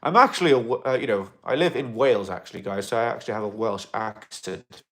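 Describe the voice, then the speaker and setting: A man in an alien costume, chatting casually on a livestream and answering viewer comments in a rambling, excitable way. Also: on the low side, fast talker. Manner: rambling and excitable, with many fillers and restarts; loud bursts of excitement between quieter asides.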